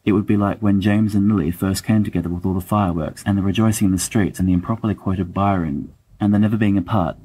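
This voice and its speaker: A man's voice: sits low, fairly even intonation, fast talker, few pauses, dark tone, husky, low-quality recording. A man reading fiction aloud expressively, as an awkward, bookish young letter writer, hesitant and wry. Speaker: volunteer audiobook reader.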